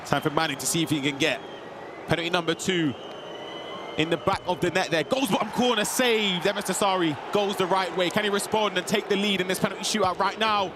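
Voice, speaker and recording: A man co-commentating an esports football match with energetic, exclaiming delivery. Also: high-pitched, a little fast, background noise. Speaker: esports co-caster. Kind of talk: sports commentary.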